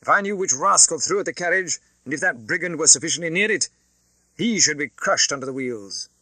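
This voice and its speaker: A man's voice: fairly high pitch, lively intonation, brisk, pushed and bright, no echo, pitched above the performer's own voice, heavily acted. A man voicing a haughty, cruel aristocratic uncle in an audiobook, imperious and coldly polite. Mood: contemptuous anger. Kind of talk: audiobook character acting.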